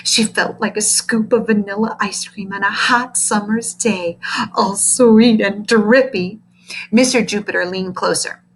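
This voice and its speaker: A woman's voice: mid-range voice, fairly even intonation, unhurried, slight background noise, poor audio. Woman reading a children's story aloud. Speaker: storybook reader.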